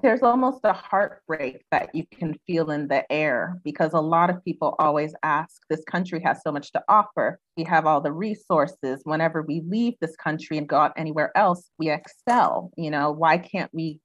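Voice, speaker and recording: A woman's voice: sits low, normal speed, hardly any pauses, no echo, dull audio. A young woman raised in the United States, speaking reflectively as a guest in a casual interview, with many "um"s and "you know"s.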